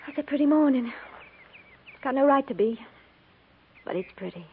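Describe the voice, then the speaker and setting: An actress playing a shaken, plainspoken widowed frontier schoolteacher in a 1950s radio western, in a subdued, folksy manner. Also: female voice, high-pitched, expressive, normal speed. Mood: wistful. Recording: tinny, noisy 1950s radio recording.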